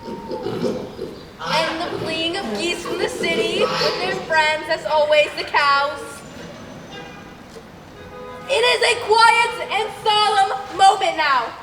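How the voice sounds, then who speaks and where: A woman's voice: very high voice, sing-song, slow, pauses often, over music, full character voice. A woman playing a solemn, overwrought radio announcer with grave, dramatic delivery in a stage radio play. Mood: solemn dread. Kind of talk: mock 1930s radio broadcast acting on stage. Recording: distant echoey hall, clear noise.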